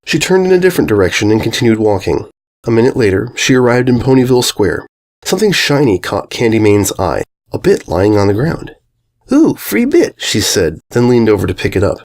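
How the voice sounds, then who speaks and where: A man reads a story aloud as its narrator. His mid-range voice moves around a lot in pitch, giving the scripted prose an expressive, animated feel. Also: brisk. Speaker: fan fiction narrator.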